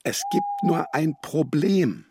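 An actor playing a hesitant, doubtful man in a children's radio play, speaking in a halting, questioning way. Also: a little high, huge pitch swings, background noise, heavily acted. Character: hesitant, doubtful man with a feather in his hat.

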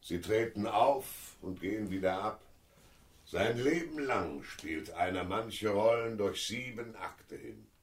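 An actor playing a deranged, vain theatre director and hypnotist in a radio crime drama, with a commanding, theatrical delivery. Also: male voice, lowish voice, lively intonation, takes it slowly. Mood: declaiming Shakespeare.

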